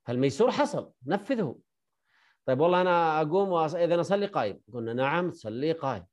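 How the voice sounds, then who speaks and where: A man teaching a jurisprudence lesson online, briskly quizzing and correcting his students. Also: a little high, expressive.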